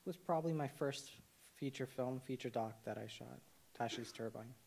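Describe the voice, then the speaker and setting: A man's voice: mid-range voice, normal speed, creaky, darkish tone, a little hiss or hum. Hesitant young man from Nepal. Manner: hesitant, many fillers and restarts. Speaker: documentary cinematographer, panelist. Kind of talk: panel discussion.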